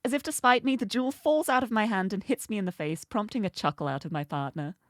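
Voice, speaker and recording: A woman's voice: mid-range voice, fast talker, no echo, studio quality, natural voice. A woman reading as a cheeky, confident young female phantom thief, narrating in first person in a breezy, playful way.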